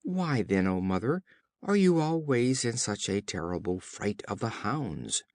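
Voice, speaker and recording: A man's voice: on the low side, big pitch swings, unhurried, a little mellow, no echo. A man voicing an earnest, inquisitive young male fawn in a storybook, with formal phrasing. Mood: puzzled, earnest. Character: young fawn, earnest, questioning his mother.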